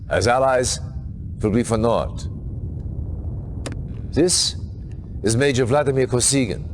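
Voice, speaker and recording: An actor playing a grave Allied military commander, briefing troops formally and deliberately in a dramatic game cutscene. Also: male voice, mid-range voice, close to an everyday voice. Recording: noisy 1990s game cutscene audio.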